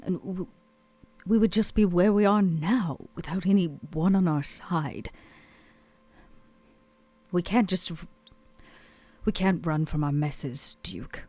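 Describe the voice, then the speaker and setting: A woman's voice: lowish voice, slow, pauses often, dark tone. A woman playing a weary, proud club owner in a tabletop roleplay, speaking in a theatrical, hesitant way. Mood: anxious.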